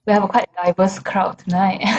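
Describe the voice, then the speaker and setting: A woman's voice: medium pitch, fairly even intonation, a little slow. A woman moderating an online design talk over a video call, chatty, laughing often and using many fillers.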